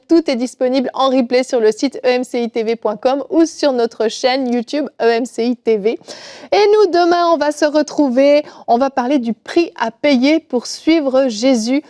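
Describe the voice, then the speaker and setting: Female co-host, higher voice, dark mellow timbre, easy chatty delivery. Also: normal speed, no room sound, clean studio sound. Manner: warm thanks, filler "euh". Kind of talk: casual studio chat. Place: TV studio.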